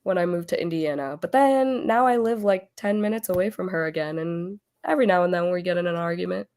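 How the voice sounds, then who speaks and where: A woman hosting a casual book club chat over a video call, losing her voice as she gets over an illness, chatty and quick to laugh. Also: lowish voice, a little mellow. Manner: chatty, laughs often, trails off.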